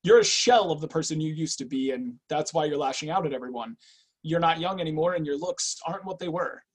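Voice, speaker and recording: An actor playing a guarded, clinical agent with a cool, probing, businesslike manner in an online screenplay reading. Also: male voice, a little high, fairly quick, breathy edge. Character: guarded, clinical intake agent, weary but obedient man. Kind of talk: online screenplay table read.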